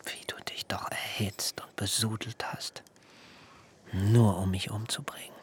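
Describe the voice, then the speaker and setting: An actor playing an ageing, righteously angry biblical herdsman in a literary radio drama, speaking in archaic, deliberate rhetoric. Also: level tone, whispered, close to an everyday voice. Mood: bitter, aggrieved. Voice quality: low, gravelly.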